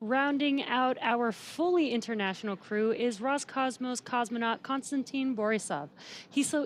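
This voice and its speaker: A woman presenting a broadcast segment in a smooth scripted way. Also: a little high.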